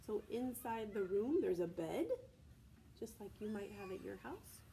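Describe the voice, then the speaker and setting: A woman's voice: mid-range voice, expressive, a little slow, vocal fry, dark tone, slight background noise, rough recording. An American woman, a preschool teacher from Texas, reads aloud to young children in simple phrases, stopping to explain and ask questions. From Texas, United States.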